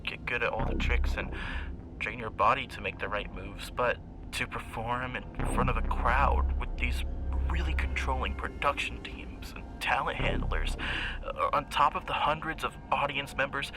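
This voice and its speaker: An actor playing a paranoid, haunted former child star confessing haltingly in an interview, his voice modulated to mask it. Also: medium pitch, fairly even intonation, poor audio. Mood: stressed, confiding. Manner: hesitant, uneasy, halting confession. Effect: voice-masking modulation. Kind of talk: acted confessional radio interview. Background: music.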